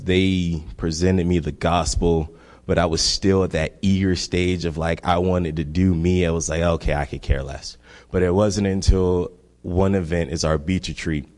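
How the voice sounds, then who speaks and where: A young man with a very low, deep voice tells his story earnestly and conversationally. His words ring out with a long reverb through a church hall. He speaks into a handheld microphone. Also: a little mellow. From Miami, Florida. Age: young adult. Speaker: guest sharing testimony. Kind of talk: personal testimony.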